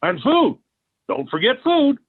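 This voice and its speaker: A man's voice: very high-pitched, huge pitch swings, a little slow, heavily acted. An actor playing a jovial, conniving middle-aged American father, eager and folksy, in 1940s radio sitcom style. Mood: helpful. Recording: tinny, band-limited old radio recording.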